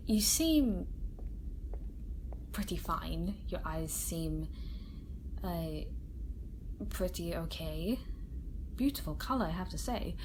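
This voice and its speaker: Slow, gentle woman acting a kind female doctor. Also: mid-range voice, slight background noise, natural voice, low-quality recording. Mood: calm examining. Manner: reassuring, hesitant, with soft chuckles. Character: kind, gentle female scientist doctor examining an alien patient. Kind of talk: soft-spoken ASMR roleplay. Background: sci-fi facility ambience.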